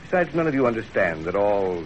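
An actor playing a stern, cautious wealthy Chinese banker father, measured and admonishing, in 1930s radio drama on an old recording. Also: fairly low pitch, big pitch swings, muffled recording, slight background noise. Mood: stern, cautioning.

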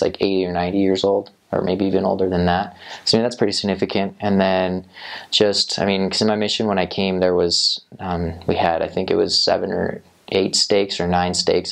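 A man's voice: deep voice, gravelly. A young man on a lapel mic in a small studio, answering interview questions about his time as a missionary, rambling with many fillers and restarts. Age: young adult. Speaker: returned missionary. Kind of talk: interview answers, casual recollection. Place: small indoor studio set.

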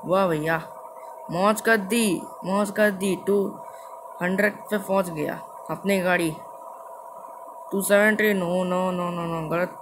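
A man's voice: high voice, fairly even intonation, slow pace, long pauses. Indian guy doing casual gaming commentary, repeats himself, english words mixed in, noisy amateur recording. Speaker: gaming video host. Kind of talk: casual live gameplay commentary. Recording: noisy amateur screen recording with ambient sound.

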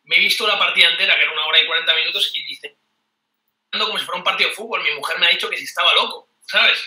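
A man's voice: high-pitched, rapid-fire, far from the mic. Chatty Spanish man on a roomy webcam. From Spain. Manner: chatty, animated storyteller, laughs often. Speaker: board game youtuber guest. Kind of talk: casual video-call interview. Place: small room at home, indoors. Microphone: webcam mic on a video call. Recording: roomy webcam audio from off-mic.

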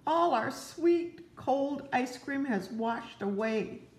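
A woman voicing an excitable, fussy little male toad from a storybook, with high squealing cries. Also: unhurried, close to an everyday voice. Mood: frantic. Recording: roomy echo.

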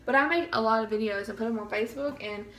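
A woman's voice: fairly high pitch, fast, a bit bright. A young woman, chatting nervously in a casual review vlog, her voice slightly scratchy from bronchitis, recorded close on a phone camera in a small bedroom. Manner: chatty, nervous, keeps saying y'all. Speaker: vlogger.

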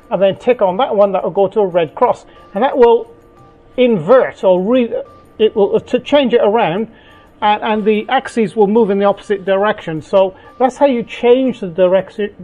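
Slow-spoken older man explaining workshop tools. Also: high voice, darkish tone. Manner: slow, halting, with many fillers and restarts. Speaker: workshop tutorial host. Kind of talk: unscripted technical walkthrough. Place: home workshop, indoors.

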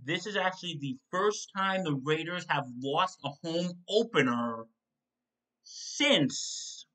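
Bright-voiced young man rattling off football stats. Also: a little high, lively intonation, low-quality recording. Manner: reads stat lines in quick lists, with restarts and self-corrections. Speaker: sports show host. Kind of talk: solo postgame recap to camera. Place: home kitchen, indoors. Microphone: desk mic in front of a webcam.

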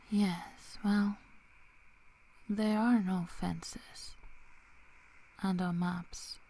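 A woman playing a young, protective, teasing female werewolf in a soft, half-whispered ASMR roleplay, with long pauses. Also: medium pitch, rough recording. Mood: warning, guarded. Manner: slow, hushed, breathy delivery with long pauses.

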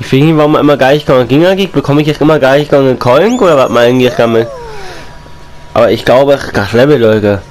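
A young man with a slight cold, chatting casually as he plays and comments on a console game, repeating and rhyming his lines and breaking into excited outbursts. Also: medium pitch, fast talker. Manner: excited outbursts while playing. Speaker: gaming YouTuber.

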